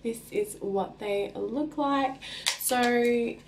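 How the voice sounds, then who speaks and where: A young woman talks slowly and casually, trailing off mid-sentence as she reviews makeup. Her voice is a little stuffy from a cold, recorded by a camera mic in a small indoor room. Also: on the high side, background noise. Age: young adult. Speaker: beauty vlogger.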